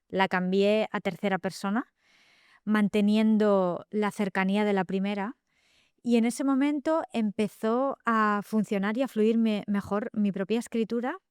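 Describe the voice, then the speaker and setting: Catalan woman, middle-aged, thinking out loud in an interview, smooth clear voice, dry studio sound. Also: medium pitch, no echo. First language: Spanish. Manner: reflective, many fillers and tag questions. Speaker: guest novelist. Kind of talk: thoughtful interview talk.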